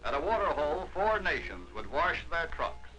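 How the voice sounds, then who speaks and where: A man narrating a 1940s wartime documentary in a measured, declamatory newsreel manner. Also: fairly high pitch, very animated, sharp tone, low-quality recording.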